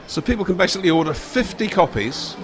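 A podcast host with a very lively, bouncing pitch. He chats and jokes easily with his guest in a casual way. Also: quick pace, background noise. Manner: jokey, lively. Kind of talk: casual interview.

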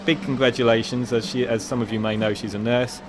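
A bookshop manager talks politely, hedging with little fillers. He is recorded in a noisy public shop with chatter and movement behind him. His voice sits at a middle pitch. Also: flat delivery, fast talker. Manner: polite, slightly hesitant with fillers. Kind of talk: casual interview. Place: busy shopping centre store.

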